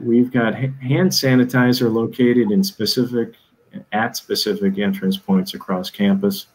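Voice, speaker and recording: A man's voice: lowish voice, low-quality recording. A middle-aged man giving a businesslike facilities briefing over a video call, reading through lists with frequent ums and uhs. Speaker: facilities administrator presenting an update. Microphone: video call webcam.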